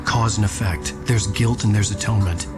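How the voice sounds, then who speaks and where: An actor playing a solemn video game character, giving a measured, ominous monologue about guilt and balance. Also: male voice, on the low side, fairly even intonation, lightly acted. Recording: game clip with loud music.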